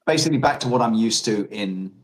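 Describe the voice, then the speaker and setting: A man's voice: lowish voice, animated, fast, slight background noise, rough recording. A man with an Australian accent, chatting casually and telling rambling stories full of restarts and self-corrections. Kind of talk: casual chat.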